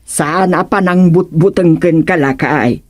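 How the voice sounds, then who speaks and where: An actress with an Ilocano accent playing a nagging, practical elderly village wife in a radio comedy drama, scolding and questioning. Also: female voice, fairly low pitch, unhurried, a little mellow. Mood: worried scolding.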